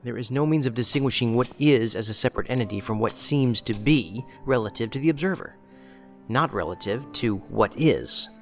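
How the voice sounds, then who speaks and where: A federal special agent in an audio drama. He sounds measured and dry, choosing words deliberately as he probes, at an ordinary male pitch. Faint music runs behind him. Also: lively intonation, fairly bright tone. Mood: guarded, reflective.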